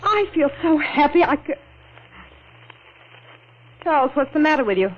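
An actress playing a devoted, reassuring sweetheart, tender and earnest, in 1940s radio drama style. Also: female voice, high-pitched, very animated, moderate pace. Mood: tender relief. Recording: muffled, faintly noisy old radio recording.

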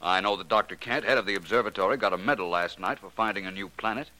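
A man's voice: lowish voice, fast talker, sharp tone, poor audio. A man playing an urbane, wry, inquisitive detective in 1940s radio drama style. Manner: suave, wry. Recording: vintage 1940s radio recording.

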